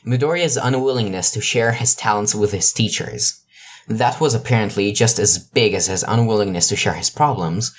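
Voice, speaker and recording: An amateur narrator reading a scripted piece of fiction. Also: mid-range voice. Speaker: narrator, amateur podfic reader.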